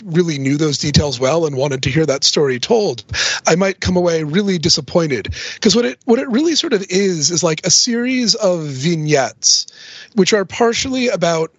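A man's voice: high voice, slightly rough, no echo. A man speaking as a podcast guest expert, explaining things casually on a slightly muffled recording.

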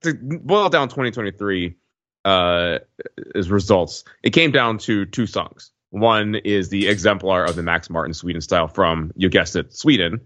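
Male podcast co-host, lowish voice, conversational. Dry, slightly dull recording. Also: normal speed, a bit bright, no echo. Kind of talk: casual pop-culture discussion.

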